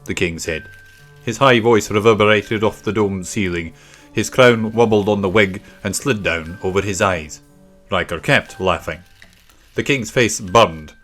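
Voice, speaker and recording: A man's voice: lowish voice, measured pace, a bit bright. A man narrating a children's story from a script. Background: faint music.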